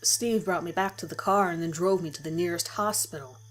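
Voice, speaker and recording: A woman's voice: fairly low pitch, a little fast. A woman reading a first-person horror story as a dramatic scripted narration. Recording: music behind the voice. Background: music.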